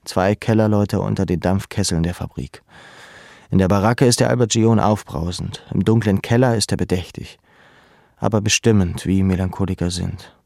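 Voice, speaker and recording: Low gravelly watchful young prisoner, melancholy, introspective, dry close recording, radio drama. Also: male voice, normal speed, dark tone, no room sound. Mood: sober, reflective. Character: young deported male labour camp prisoner, melancholy, watchful. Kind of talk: literary radio drama narration.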